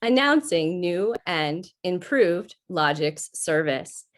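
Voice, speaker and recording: Casting: woman voicing unfeeling helper computer, lowish pitch, very deliberate pace, retro radio sci-fi. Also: animated. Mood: helpful, impassive. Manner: measured, impassive announcer delivery. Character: calm, helpful, unfeeling computer service voice. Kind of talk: 1950s radio sci-fi acting.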